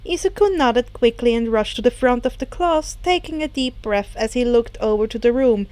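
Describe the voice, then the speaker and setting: A woman's voice: a little high, expressive, moderate pace, darkish tone, no room sound. A woman reading fan fiction aloud as an amateur audiobook narrator.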